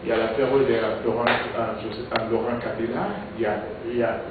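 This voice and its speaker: A man from Kinshasa, a politician giving interview answers in a halting way, repeating words and using many fillers. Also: mid-range voice, flat delivery. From Congo (Kinshasa). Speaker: politician, presidential hopeful. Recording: echoey, distant, phone-like with noise.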